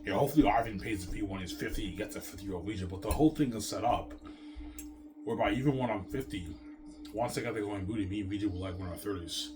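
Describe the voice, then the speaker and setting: Man, 30s, low voice, self-assured vlogger monologue, repetitive restarts, music in background. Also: fast talker. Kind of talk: rambling monologue to viewers.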